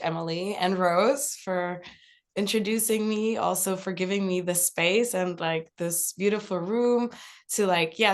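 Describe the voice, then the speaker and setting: A young woman author talks in English, which is not her first language, with German as her mother tongue. She is relaxed and casual, full of "um" and "like", and her voice sits a little low. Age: young adult. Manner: relaxed, many fillers like "um" and "like". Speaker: author guest. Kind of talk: casual talk at a college event.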